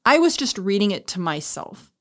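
A woman's voice: medium pitch, very animated, moderate pace. A woman talking casually and reflectively as a podcast host, explaining things through her own experience. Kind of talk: casual conversational talk.

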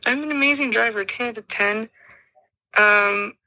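A woman's voice: fairly high pitch, moderate pace, sharp tone. A woman answering questions in a casual chat as a guest on a phone call. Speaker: called guest.

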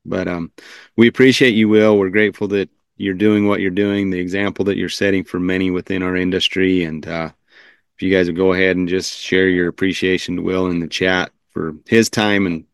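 A male podcast host with a very deep, even, flat delivery and a smooth, resonant voice. He sounds earnest and encouraging on a dry video call. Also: fairly quick, dry recording. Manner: earnest, affirming, frequent backchannels. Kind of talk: interview hosting.

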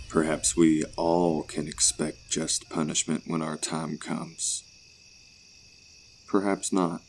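Male narrator for horror audio story, extremely low voice, ominous delivery, noticeable noise and crickets behind.

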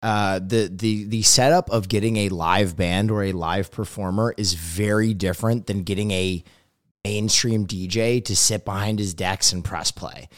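A man co-hosting a casual podcast, relaxed, often trailing off into low mumbles. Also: normal speed. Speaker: podcast co-host.

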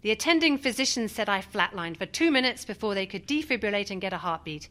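An actress playing a cynical, defiant doctor and former army chaplain in a radio drama, speaking in a pointed, argumentative way. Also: female voice, medium pitch, animated, quick pace, sharp tone. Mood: guarded.

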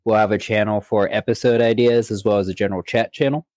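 A man's voice: fairly low pitch, fairly even intonation, quick pace, darkish tone, no echo, clean studio sound. A man co-hosting a podcast in casual, conversational chat. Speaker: podcast co-host.

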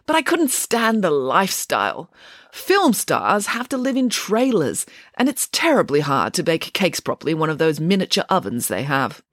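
A woman's voice: low voice, sing-song, moderate pace, a bit bright, dry recording, studio quality, exaggerated voice. A woman voicing a bossy, proud, theatrical female pig nanny in a children's story, with an imperious, emphatic manner. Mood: haughty.

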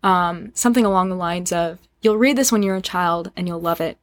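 Earnest young woman, thoughtful and halting. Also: fairly low pitch, dry recording. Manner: earnest, searching, halting as she works out thoughts. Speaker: podcast guest. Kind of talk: casual reflective conversation.

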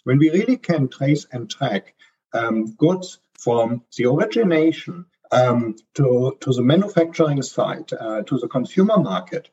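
A man's voice: medium pitch, very animated, measured pace, warm tone, slightly rough, dull audio. A man from Germany with a strong German accent, answering interview questions as a consultant, hesitating often with ums and restarts. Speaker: supply chain consultant guest.

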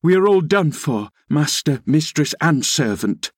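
A male reader puts on an agitated servant woman from a classic novel, lifted well above his natural pitch. She exclaims, scolds and warns with passionate urgency. The sound is dry. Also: big pitch swings, unhurried, husky, no echo, exaggerated voice. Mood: scolding, horrified. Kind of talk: dramatised classic novel reading.

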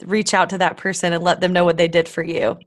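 A woman's voice: fairly low pitch, flat delivery, fast. A woman hosting a podcast interview, enthusiastic and encouraging toward her guest. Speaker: podcast host. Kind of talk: casual interview chat.

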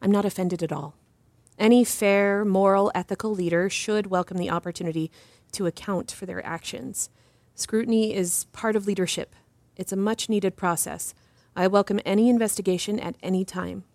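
A woman playing an earnest, principled doctor who answers interview questions in a formal, precise and measured way. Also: mid-range voice, fairly even intonation, darkish tone, dry recording, natural voice. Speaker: interview guest. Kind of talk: scripted drama interview.

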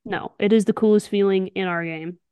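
A woman's voice: medium pitch, fairly even intonation, a little fast, mellow, clear, resonant tone, dry recording. A young woman co-hosts a casual podcast, talking in an enthusiastic, emphatic, slangy way. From Alabama, United States. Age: young adult. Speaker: podcast co-host, softball player.

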